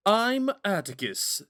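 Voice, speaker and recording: A man playing a gentle, smitten young prince in a romantic audio roleplay, musing and hesitant in a courteous way. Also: sits high, gravelly, no room sound, clean studio sound, full character voice. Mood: gentle, charmed. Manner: musing, hesitant, courteous, with sighs and whispered asides.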